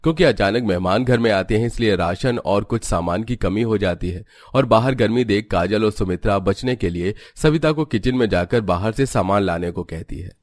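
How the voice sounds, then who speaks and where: Low-voiced man narrating a story. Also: moderate pace, hardly any pauses, dry recording, clean studio sound.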